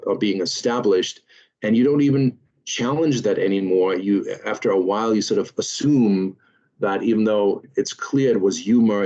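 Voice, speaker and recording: A man's voice: on the low side, a little mellow, highs cut off. German-accented man lecturing over video call. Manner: measured, with frequent um and uh fillers. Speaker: lecturer, series host. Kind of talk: online webinar lecture.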